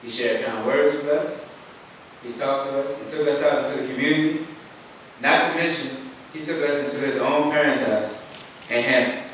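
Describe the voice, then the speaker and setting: A man's voice: mid-range voice, fairly even intonation, normal speed, long pauses, a bit bright, a little hiss or hum. A young man giving an earnest, plainspoken public comment at a council meeting. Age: young adult. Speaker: youth commission member. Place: council chamber. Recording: echoey far-off chamber audio.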